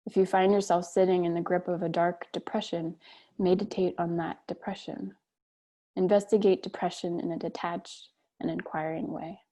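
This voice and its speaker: A woman leading a guided meditation on a video call, speaking gently and unhurriedly with long pauses. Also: lowish voice, flat delivery.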